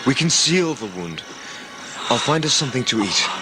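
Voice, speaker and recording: A man's voice: medium pitch, very animated, moderate pace, natural voice. Man playing practical traveller, heavy noise. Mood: concerned. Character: practical, protective male jungle traveller. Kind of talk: sci-fi audio drama acting. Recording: very noisy background.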